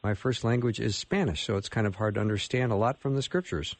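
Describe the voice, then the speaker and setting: A man's voice: fairly low pitch, quick pace, no room sound. American male radio host, dry studio sound. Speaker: radio call-in show host. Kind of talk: casual live radio hosting.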